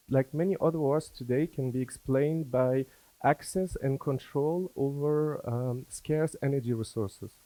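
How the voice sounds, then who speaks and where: A man from Afghanistan who was schooled in France, giving a business presentation as a company founder, with halting, hesitant phrasing. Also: medium pitch, dark tone, no room sound, rough recording. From born in Afghanistan, schooled in France.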